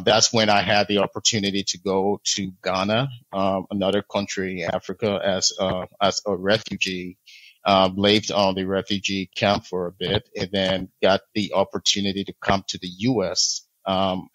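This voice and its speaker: A man from Liberia in his thirties talks as a podcast guest in a low, even voice that rarely rises or falls. He speaks in a measured way, full of small pauses and restarts. The sound is close and dry, with no room around it. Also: no room sound, rough recording. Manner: measured, many ums and restarts. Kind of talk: interview storytelling.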